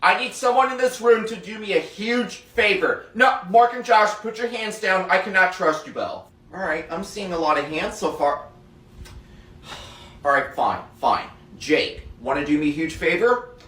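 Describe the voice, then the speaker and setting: A man's voice: sits high, moderate pace. A man playing a strict schoolteacher. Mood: stern, scolding. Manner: stern and scolding, then soft and consoling. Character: strict but caring male schoolteacher. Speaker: teacher in a classroom skit. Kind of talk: comedic classroom sketch. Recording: roomy echo, distant mic.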